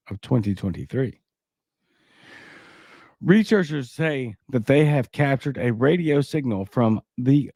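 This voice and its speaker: A man's voice: mid-range voice, dark tone, no echo, clean studio sound. A man with a Cajun accent hosting a casual livestream, reading news and viewer comments with skeptical, rambling asides. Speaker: livestream host.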